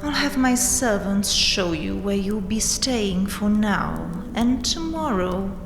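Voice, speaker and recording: A woman's voice: medium pitch, lively intonation, slow, vocal fry. A woman playing a haughty, mocking vampire queen in a dramatic audio roleplay, taunting with theatrical mock sweetness and seductive menace. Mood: playful, imperious. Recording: roomy, long hall-like reverb. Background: dark castle ambience music.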